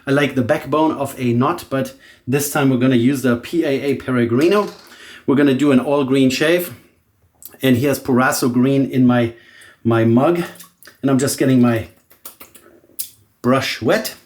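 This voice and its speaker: A man's voice: mid-range voice, normal speed, long pauses. A middle-aged man from Germany, a native German speaker with a German accent, talking casually through a shaving demonstration and thinking aloud as he goes. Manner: relaxed, thinking aloud with frequent restarts. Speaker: shaving vlogger. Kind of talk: casual product demo chat.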